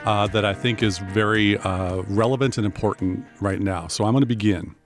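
A man with a baritone voice co-hosting a podcast in casual, rambling chat with fillers and restarts. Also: fairly even intonation, normal speed, music in the background. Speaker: podcast co-host.

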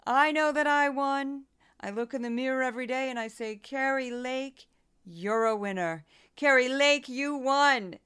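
A woman's voice: high voice, a little slow, fairly bright tone, smooth. A woman putting on a parody of a smug, self-deluded female politician hosting a party, emphatic and insistent, in a satirical radio play.

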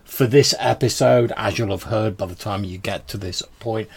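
A man's voice: fairly low pitch, moderate pace, gravelly, a little mellow, breathy edge. A man hosting a podcast, chatting informally and casually as he replies to listener messages. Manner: informal, chatty, often says "dude". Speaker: podcast host. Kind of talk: casual podcast talk responding to listener voicemails.

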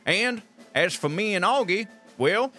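Man playing a high-voiced, folksy Southern colonel. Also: expressive, a little slow, pushed and bright, faint music behind, heavily acted. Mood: folksy, satisfied. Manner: folksy, wry asides. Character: folksy, digressive Southern colonel detective telling his tale. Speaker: narrator. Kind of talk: comic audio drama narration.

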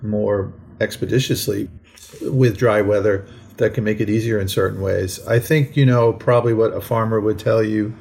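An American man talking conversationally as a podcast co-host, in a measured, explanatory way with frequent asides. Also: on the low side, fairly even intonation, vocal fry, darkish tone. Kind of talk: conversational current-events discussion. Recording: faint background noise.

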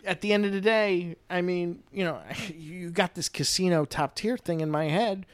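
A man's voice: high voice, moderate pace, smooth, no echo. A man chatting casually on a film podcast, rambling with lots of "you know" and "I mean.". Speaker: podcast guest, film commentator.

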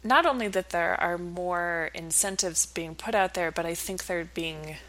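A woman with a slightly low voice talks as an expert interview guest. She explains her views conversationally, sounding thoughtful and earnest. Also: unhurried, fairly bright tone, low-quality recording.

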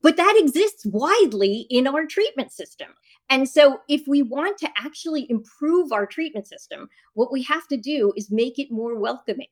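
A woman's voice: sits high, lively intonation, moderate pace. A woman interview guest debating and explaining her position in an insistent, argumentative manner. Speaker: guest, author and journalist.

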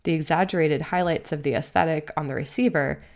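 A woman with a notably low voice and a good deal of vocal fry narrates a written essay. The delivery is measured and bookish, as an author reading their own literary piece for listeners. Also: a little mellow.

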